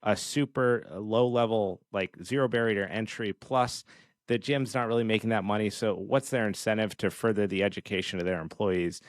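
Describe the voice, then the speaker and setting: A man speaks as a podcast host in relaxed conversation. His pitch is a little low, and he builds his explanation phrase by phrase. The recording is dry, with no room sound. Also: normal speed, no echo.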